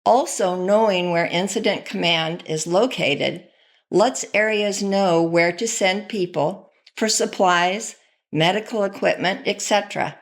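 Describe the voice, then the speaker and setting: A woman's voice: mid-range voice, measured pace. A woman delivering scripted instructional narration as a training presenter.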